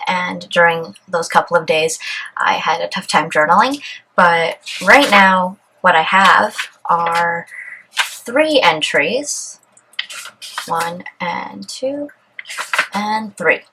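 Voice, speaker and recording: A woman in her twenties from Canada, whose first language is Cantonese, calmly and earnestly talking through a personal life update. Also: fairly low pitch, slight background noise, rough recording. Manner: calm, earnest and measured. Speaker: vlogger. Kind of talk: reflective personal vlog, partly read aloud.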